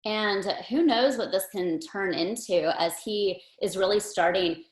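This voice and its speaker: A woman's voice: medium pitch, unhurried, a little hiss or hum. A woman, founder and host of a nonprofit, speaking earnestly and encouragingly in a webinar discussion. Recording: roomy echo.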